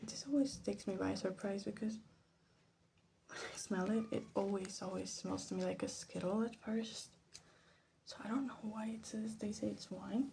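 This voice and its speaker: Woman, ASMR host: hushed, half-whispered and crackly with fry, thinking aloud, faint noise on a phone recording. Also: mid-range voice, steady tone, moderate pace. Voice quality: soft, breathy half-whisper with creaky fry. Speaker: vlog host. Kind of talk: ASMR casual commentary. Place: her bedroom.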